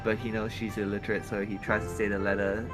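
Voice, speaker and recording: Halting man chatting over music. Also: on the low side, little pitch movement. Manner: hesitant, halting, repeats words. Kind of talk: casual chat. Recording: music playing underneath.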